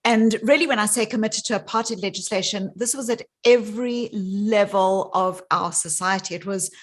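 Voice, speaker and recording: South African woman, interview guest, thoughtful and earnest, unhurried reflection. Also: mid-range voice. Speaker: guest psychologist. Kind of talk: interview conversation.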